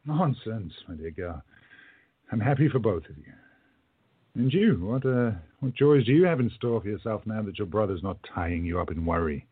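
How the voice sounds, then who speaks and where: A man playing a kindly, melancholy curmudgeon of a time traveler in a radio play, speaking in a halting, wistful way. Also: fairly low pitch, lively intonation, husky. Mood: flustered, kindly. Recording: narrow tinny phone-like audio.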